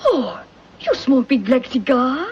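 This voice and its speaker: A coquettish French maid. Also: female voice, high-pitched, very animated, takes it slowly, a little mellow, husky, heavily acted. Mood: playful, coaxing. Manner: coquettish, broken English sprinkled with French. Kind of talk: 1940s film acting. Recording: muffled old film soundtrack.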